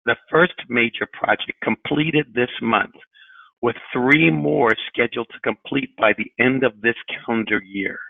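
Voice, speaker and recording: A middle-aged man speaking as a company chief executive, giving a formal, measured earnings presentation in deliberate phrases. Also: medium pitch, creaky, no echo. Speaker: company president and CEO. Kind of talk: prepared corporate earnings presentation. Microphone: telephone on a conference call. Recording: narrowband tinny phone line.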